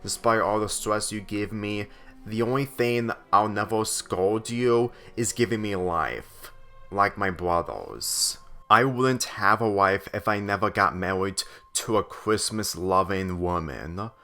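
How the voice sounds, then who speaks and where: A man voicing an affectionate, sincere teenage daughter in a story reading, with a soft manner. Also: on the low side, measured pace. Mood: tender.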